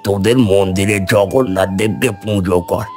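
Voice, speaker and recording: A man playing a calm, wise wandering holy man in an animated folk tale, giving measured, fatherly instruction. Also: low-pitched, soft background music, lightly acted. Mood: calm, instructing.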